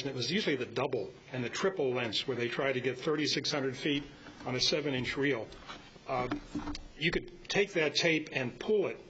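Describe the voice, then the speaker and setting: An older man giving an informal, rambling technical talk at a club meeting, halting and full of anecdotes. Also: medium pitch, a bit bright. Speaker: club speaker presenting on audio tape. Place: indoor club meeting, live audio recording. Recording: muffled, slightly noisy meeting-room recording.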